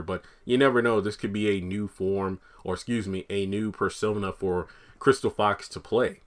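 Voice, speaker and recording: Raspy man, freshly woken, chatting. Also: on the low side, a bit bright. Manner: rambling, chatty, thinks aloud. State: just woken up. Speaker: TV commentary YouTuber. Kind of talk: casual speculative commentary.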